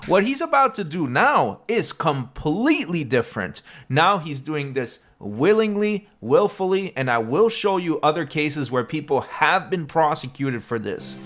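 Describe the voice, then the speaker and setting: Lively, explaining commentary man. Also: fairly high pitch. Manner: measured, explaining and making a case. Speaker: commentary video narrator.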